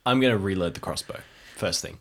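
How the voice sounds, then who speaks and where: A man's voice: deep voice, brisk, gravelly. A man playing in an improvised role-playing game, casual and a little hesitant as he thinks aloud.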